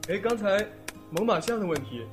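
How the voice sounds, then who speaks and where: A man's voice: high voice, big pitch swings, brisk. A man acting a caring but exasperated teenage schoolboy and older brother in an audio drama. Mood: dry. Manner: dry, long-suffering. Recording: music playing behind.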